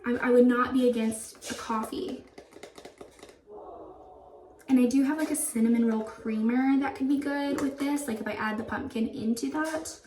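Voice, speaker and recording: A young woman vlogging casually at home, her voice a little raspy and froggy, rambling and relaxed with lots of "like.". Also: on the high side, moderate pace, slight background noise. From Florida. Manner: relaxed, rambling, uses "like" often. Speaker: vlogger, stay-at-home mom. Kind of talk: casual chatty vlog narration.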